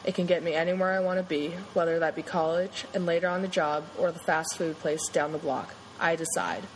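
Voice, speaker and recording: A young woman reading her own poem aloud in a steady, earnest way. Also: fairly low pitch, a little fast, a little hiss or hum, low-quality recording. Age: young adult.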